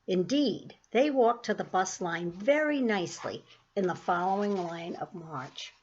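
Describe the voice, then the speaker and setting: A woman reading a children's chapter book aloud in a clear, steady, expressive way. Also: medium pitch, unhurried, highs cut off. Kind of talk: storybook read-aloud.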